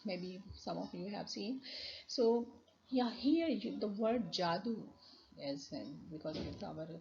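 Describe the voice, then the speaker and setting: A woman lecturer with a Pakistani English accent, a native Urdu speaker, explaining slides slowly with many fillers and restarts. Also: medium pitch, lively intonation, gravelly, dark tone. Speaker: university lecturer. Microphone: voice-over on slides. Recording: dull lo-fi recording with faint noise.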